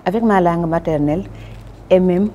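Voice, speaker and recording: A Senegalese woman being interviewed as a guest, speaking French in a measured, formal way with frequent hesitations; her first language is Wolof. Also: on the low side, warm tone, a little hiss or hum. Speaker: guest, publisher and Wolof teacher. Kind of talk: interview answers.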